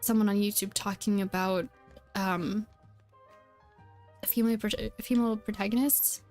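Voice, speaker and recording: A woman's voice: mid-range voice, fairly even intonation, a little slow, a little mellow. A woman streaming a game, chatting casually in a hesitant, rambling way and often correcting herself. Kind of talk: casual chat while playing a game. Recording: music behind the voice. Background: background music.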